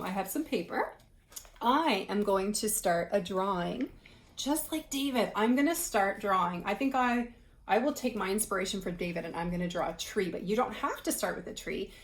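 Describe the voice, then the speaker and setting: A woman's voice: medium pitch, expressive, moderate pace. A woman reading aloud and explaining things to children in a conversational way, like a teacher. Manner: conversational, asks the listener questions while reading.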